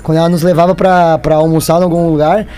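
Man, quick animated storytelling, high voice. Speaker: radio co-host. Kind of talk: storytelling in banter.

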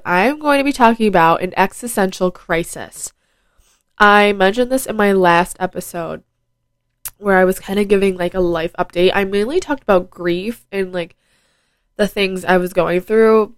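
A woman's voice: medium pitch, dry recording. A fifteen-year-old girl hosting her own podcast, chatting casually with lots of fillers, her voice nasal and stuffed up from a head cold. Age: teens. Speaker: podcast host. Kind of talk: casual solo podcast chat. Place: at home. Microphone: plugged into phone.

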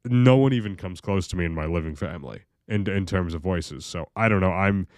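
A young American man with a very deep voice, rambling through a casual vlog monologue full of fillers, restarts and swearing. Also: a little fast, a little mellow, dry recording, studio quality. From United States. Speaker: video creator.

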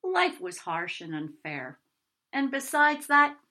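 Woman, author-narrator, expressive scripted story reading, bright tone, animated intonation. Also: sits high, takes it slowly.

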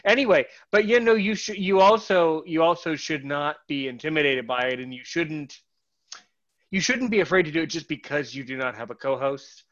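A man's voice: on the high side, normal speed, fairly bright tone, dull audio. A man hosting a podcast in casual conversation, rambling with many false starts and repeated words. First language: English. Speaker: podcast host.

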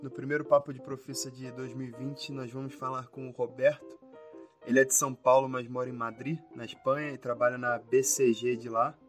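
A man's voice: medium pitch, little pitch movement, fairly quick, soft background music. A man hosting a casual podcast, introducing the episode and asking easygoing questions with short acknowledgements. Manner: friendly, brief acknowledgements. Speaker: podcast host.

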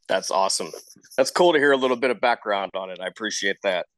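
A man's voice: on the low side, quick pace, fairly bright tone, dry recording, rough recording. A man hosting a casual interview, informal and encouraging as he talks. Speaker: show host, interviewer.

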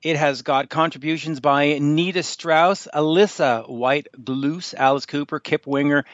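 Eager male radio host, slightly high. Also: unhurried, dry recording, dull audio. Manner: enthusiastic, self-described music geek. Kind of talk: radio interview.

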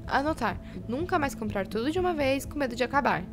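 A woman's voice: high voice, very animated, quick pace. An actress playing a frazzled, impulsive young woman fretting over money, with a Brazilian accent, in an audio drama. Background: music behind the voice.

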